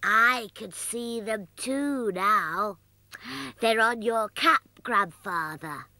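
A woman's voice: a little high, extremely slow, sharp tone, dry recording, voice pushed up, heavily acted. A woman voicing a curious, earnest little puppet child in a children's show. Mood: eager curious.

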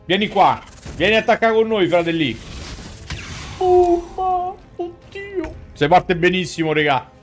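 Roman guy streaming a game, slightly high voice, chatty slangy commentary, game music behind. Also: wildly swooping pitch, unhurried. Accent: central Italian, Roman. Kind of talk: casual live gameplay commentary. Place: indoor room, gaming setup. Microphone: headset microphone.

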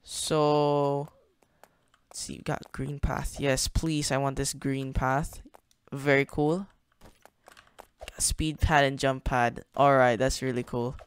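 A man's voice: mid-range voice, level tone, takes it slowly, pauses often, clear, resonant tone, no room sound. A young man hosting a gaming channel, casually narrating his play in a chatty, slangy way, thinking aloud as he goes. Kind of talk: casual gameplay commentary.

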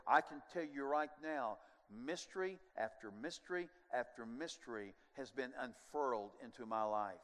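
An older man preaching a sermon as pastor on a large church stage, animated and moving, dropping at times to quiet asides. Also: fairly high pitch. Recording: long church hall reverb.

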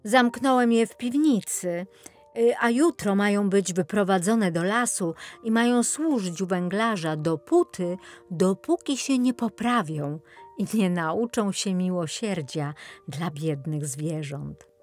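A woman's voice: medium pitch, a little slow, darkish tone, faint music behind, close to an everyday voice. A woman voicing a noble young prince in a children's fairy tale, speaking in an earnest, declarative way. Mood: stern. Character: noble young prince freed from a witch's spell.

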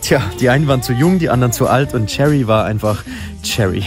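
A man's voice: mid-range voice, fast talker. A man in his twenties hosting a casual vlog, chatting and narrating in a playful, jokey way. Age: 20s. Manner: playful, jokey, laughs often. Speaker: vlogger and host. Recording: music behind the voice.

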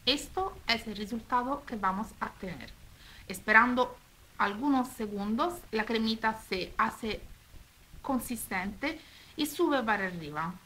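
An Italian woman with an Italian accent speaking Spanish as a second language, demonstrating a recipe step by step at home in a careful, slightly halting way. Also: a little high, lively intonation, pushed and bright, slight background noise, rough recording. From Italy. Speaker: cooking video host. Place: home kitchen table.

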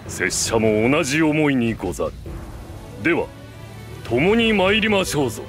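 An actor playing a grave, honourable bearded warrior in a video game, with solemn, archaic formal speech. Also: male voice, on the high side, big pitch swings, normal speed, soft background music. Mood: grave, respectful. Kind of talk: video game cutscene voice acting.

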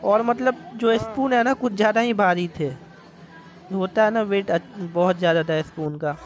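A man's voice: sits high, moderate pace, soft background music. A young man from India vlogging a night out at a restaurant, chatty and enthusiastic, talking straight to his viewers over a phone camera. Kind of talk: casual vlog narration. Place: outdoor restaurant terrace at night.

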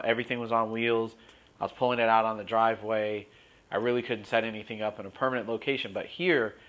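A middle-aged man with a lowish voice talks through his garage woodshop in an easygoing, conversational way, full of fillers. The sound is dull and lo-fi, picked up by a camera set back from him in the shop. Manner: relaxed, conversational, many fillers and "you know". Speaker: woodworking channel host. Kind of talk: casual shop tour walkthrough. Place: cluttered home garage woodshop, indoors. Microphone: wide-angle camera at a distance.

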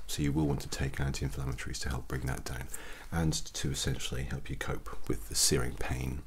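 Very deep, hushed man doing ASMR. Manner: gentle, hushed, unhurried bedside manner. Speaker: ASMR performer playing a doctor. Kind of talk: ASMR roleplay, soft-spoken and whispered. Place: small quiet room indoors. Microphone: close mic, very near the mouth. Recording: noisy close-up recording with mouth clicks.

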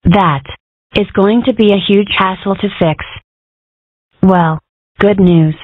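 A woman voicing a weary, dry-witted female rabbit in charge, deadpan and sardonic, in a cartoon comic dub. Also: medium pitch, takes it slowly, no echo, narrow, tinny audio. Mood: weary, explaining. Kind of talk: comic dub character acting.

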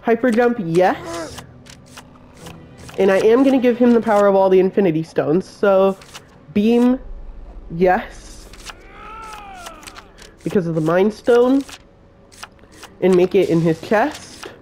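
Congested man giving slow game instructions. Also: high voice, lively intonation, warm tone. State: congested with a cold and cough. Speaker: game tutorial host. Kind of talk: step-by-step game build tutorial.

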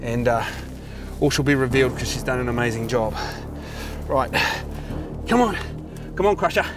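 A man hosting a fishing show outdoors, joking and laughing in a cheeky, excitable way. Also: mid-range voice, normal speed. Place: outdoors in bush and hills. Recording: outdoor clip with loud music over it.

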